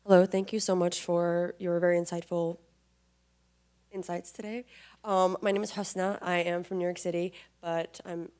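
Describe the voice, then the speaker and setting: Flat-toned young woman asking a question. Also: on the low side, smooth. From Morocco. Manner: polite, measured questioning. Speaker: audience member asking a question.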